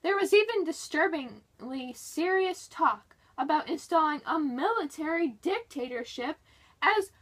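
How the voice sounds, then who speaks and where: A woman reading a history book aloud in a dramatic, scripted narration style. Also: very high-pitched.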